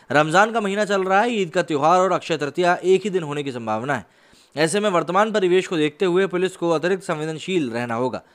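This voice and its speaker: Male news presenter, slightly high voice, earnest and formal delivery for online current-affairs commentary. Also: a bit bright. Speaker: YouTube news host and commentator. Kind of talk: news commentary, reading reports to camera.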